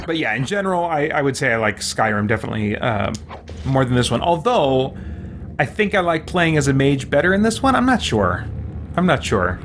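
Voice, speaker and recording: A man's voice: mid-range voice, big pitch swings, brisk, background noise. A man hosting a let's play, giving casual commentary as he plays. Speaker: gaming host.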